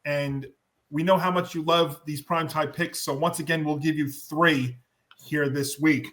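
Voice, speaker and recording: Hoarse man hosting a football picks show. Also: a little high, steady tone, normal speed, fairly bright tone. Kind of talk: sports show hosting and debate.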